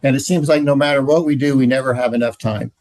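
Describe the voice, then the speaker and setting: A man leading a marriage ministry, explaining in a plain, earnest way with many pauses and "uh" fillers. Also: mid-range voice, fairly even intonation, a little mellow, dry recording. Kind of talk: training session talk.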